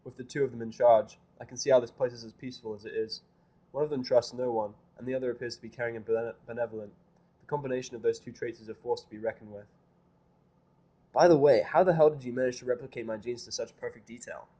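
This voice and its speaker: A man reading a fan fiction story aloud in the first person, at an average pitch for a man. His narration is dry and a bit irritable. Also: fairly even intonation, fast, mellow, muffled recording. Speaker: reader.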